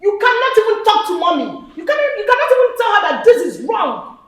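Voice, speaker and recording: A woman with a Nigerian accent playing an angry young woman who scolds her family with sharp, fiery words. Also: very high voice, big pitch swings, quick pace, full character voice. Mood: furious. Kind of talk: film drama acting.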